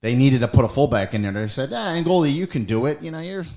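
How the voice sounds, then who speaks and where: A man's voice: medium pitch, animated, fast talker, darkish tone. A middle-aged American man hosting a podcast, talking casually and telling stories with lots of fillers and self-corrections. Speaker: podcast host. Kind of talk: casual podcast chat and storytelling.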